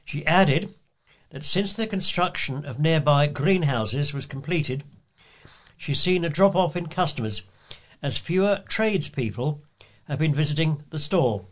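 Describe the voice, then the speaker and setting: A man's voice: on the high side, lively intonation, measured pace. A man reading scripted local news items aloud as a volunteer news reader.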